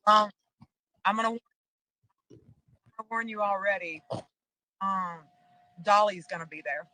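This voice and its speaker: A middle-aged woman with a bright, forceful voice and a medium pitch. She speaks casually, as in a personal call. The jail video call audio sounds dry and close. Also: unhurried, no echo, low-quality recording. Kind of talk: casual personal phone conversation.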